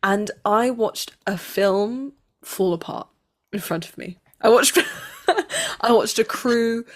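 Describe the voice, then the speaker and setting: A woman with a mid-range voice in casual conversation as an interview guest. She is animated and jokey, and likes to tell small stories as if they were high drama. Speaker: interviewed actor. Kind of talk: casual chat.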